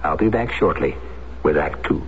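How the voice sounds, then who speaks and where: Host: male, measured and solemn, old radio mystery framing, muffled broadcast. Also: a bass voice, expressive, slight background noise. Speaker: show host. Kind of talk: scripted radio narration. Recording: dull lo-fi radio broadcast with music.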